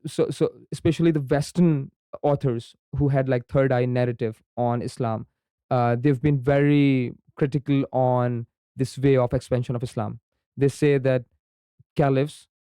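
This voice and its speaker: Young pakistani man, urdu native, mellow voice, smooth tone, dry close mic, podcast guest, lots of ums and "right?". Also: medium pitch, no room sound. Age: young adult. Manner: frequent ums and repeated "right?" tags. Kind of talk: conversational explanation.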